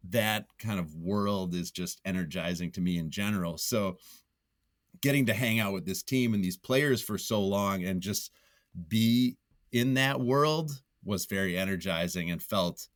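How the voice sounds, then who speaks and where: Low-voiced man thinking aloud in an interview. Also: dry recording, studio quality. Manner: halting, thinking aloud, circles back to repeat phrases. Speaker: podcast guest, nonfiction writer. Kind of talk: casual reflective interview answers.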